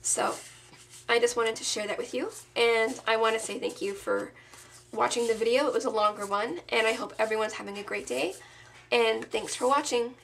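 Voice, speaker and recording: A woman in her thirties with a sore throat, casually showing off her handmade scrapbook album in a relaxed, chatty, enthusiastic way. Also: a little high, moderate pace, creaky. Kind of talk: casual show-and-tell.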